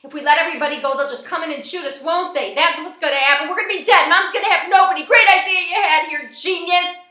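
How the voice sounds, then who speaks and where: A woman voicing an agitated, scornful young man in a robbery story, high-strung and complaining. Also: expressive, a little slow, pushed and bright, exaggerated voice. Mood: panicked yelling. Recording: roomy, echoing space.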